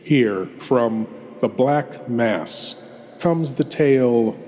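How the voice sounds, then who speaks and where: A man playing a sinister, courtly horror host lord, narrating in a deliberate, theatrical, ominous manner. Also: mid-range voice, a little mellow. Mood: grave, measured. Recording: long hall-like reverb.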